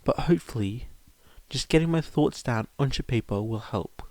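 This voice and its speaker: A man's voice: mid-range voice, expressive, moderate pace, darkish tone, no echo, natural voice. A man voicing an anxious young woman reading out her formal, confessional writing in an audiobook. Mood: frazzled, confessional.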